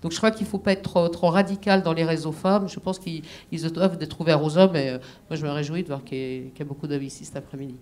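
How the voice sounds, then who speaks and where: A woman's voice: deep voice, steady tone, quick pace, few pauses, a little mellow. A woman speaking on a conference panel, hesitant with stammering restarts. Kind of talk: panel discussion.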